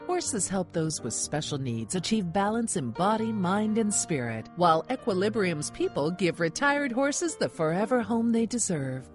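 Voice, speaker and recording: A woman with lively intonation reads a scripted charity appeal gently. Soft music runs beneath her voice. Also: mid-range voice. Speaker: ad announcer.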